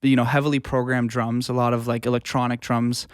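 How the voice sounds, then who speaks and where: A man's voice: mid-range voice, level tone, brisk, clear, resonant tone, dry recording, studio quality. A man giving casual, rambling explanations as a music producer guest in an interview.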